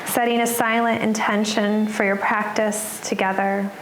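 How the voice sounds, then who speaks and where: A woman yoga instructor guiding a class in a soothing, unhurried manner, leaving pauses between her cues. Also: mid-range voice, level tone. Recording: roomy echo with background noise.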